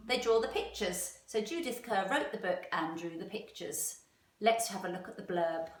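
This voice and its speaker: A woman teacher reading a storybook aloud to young children, expressively and with questions for them. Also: medium pitch. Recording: roomy echo, distant microphone.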